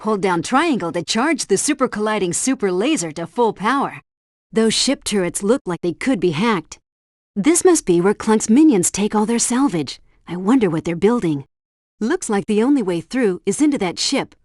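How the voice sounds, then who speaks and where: A woman's voice: medium pitch, animated, normal speed, darkish tone, dry recording, studio quality, close to an everyday voice. A woman playing a composed, helpful spy agency helpdesk operator in a video game, giving scripted instructions in a precise briefing style. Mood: composed, instructive. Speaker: mission helpdesk guide. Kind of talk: scripted game tutorial narration.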